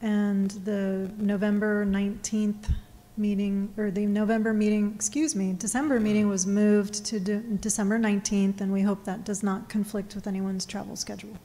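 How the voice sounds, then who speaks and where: A woman's voice: mid-range voice, level tone, moderate pace, dark tone. A woman on city staff, briefing a public meeting, hesitant with many fillers and restarts, her voice worn. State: losing her voice. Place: council meeting room. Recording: distant reverberant hall, faint noise.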